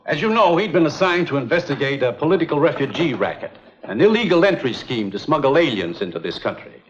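An actor playing an earnest, authoritative commissioner in a 1950s adventure drama. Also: male voice, fairly high pitch, big pitch swings, fast, a little hiss or hum. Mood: grave, urgent. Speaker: commissioner giving orders. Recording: dull, muffled 1950s soundtrack.

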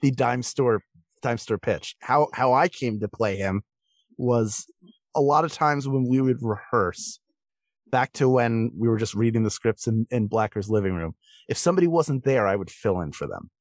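A man's voice: medium pitch, expressive, moderate pace, no room sound. A man, a voice actor, telling anecdotes in a casual podcast interview.

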